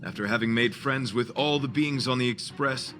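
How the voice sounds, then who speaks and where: A man's voice: medium pitch, moderate pace, fairly bright tone, faint music behind, close to an everyday voice. A man voicing an earnest, flowery knight in a video game, formal and pompous. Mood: solemn. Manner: pompous, formal, archaic wording. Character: courtly, earnest, flowery knight, theatrically noble.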